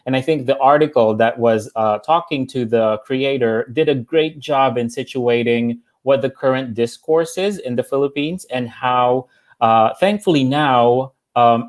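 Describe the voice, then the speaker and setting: A man with an average-pitched voice speaks as a panelist over a video call. He talks thoughtfully, often pausing on "uh". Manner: thoughtful, with frequent "uh"s. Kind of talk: panel discussion.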